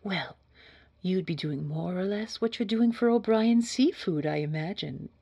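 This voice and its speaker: Woman acting a hushed, low-voiced lady boss. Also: dry recording, dull audio, exaggerated voice. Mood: businesslike. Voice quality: hushed, breathy, husky. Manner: smooth, measured, formal and quietly controlling. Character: poised, sinister woman boss conducting a job interview. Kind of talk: scripted audio roleplay acting.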